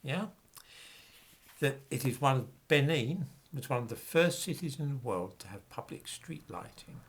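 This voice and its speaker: A man's voice: mid-range voice, expressive, measured pace, husky. A middle-aged man reading passages from books aloud and commenting on them with dismissive, sarcastic asides.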